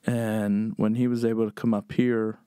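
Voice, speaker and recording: A man's voice: lowish voice, flat delivery, moderate pace, dark tone, clear, resonant tone, dry recording, clean studio sound. A man from Pennsylvania, a chef and podcast guest, telling family stories in a loose, rambling way with many fillers. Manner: rambling, many "um"s, laid-back storyteller. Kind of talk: casual storytelling.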